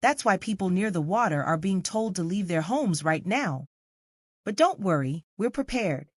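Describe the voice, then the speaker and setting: A woman voicing a calm, serious and reassuring mother who explains things instructively in a children's storybook. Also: mid-range voice, normal speed, husky, no echo, studio quality.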